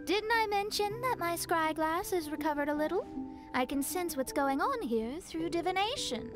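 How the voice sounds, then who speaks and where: A woman's voice: extremely high pitch, expressive, moderate pace. A woman playing a proud, refined young astrologist in a video game, self-assured and precise. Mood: confident. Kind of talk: video game character acting. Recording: music behind.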